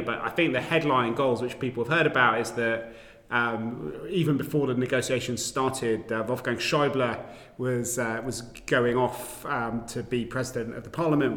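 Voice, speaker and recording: A man's voice: mid-range voice, a bit bright. A native English-speaking man moderating a political panel discussion, with hesitant phrasing and repeated words. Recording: echoey, reverberant hall.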